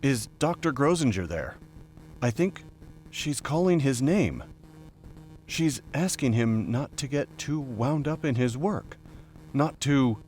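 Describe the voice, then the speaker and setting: A weary major, played by a male reader, calls in his reports with earnest radio procedure. His voice is mid-pitched and moves widely up and down, in a storybook reading. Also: animated, takes it slowly, a little mellow, soft background music. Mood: weary, insistent. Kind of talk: scripted audiobook character dialogue.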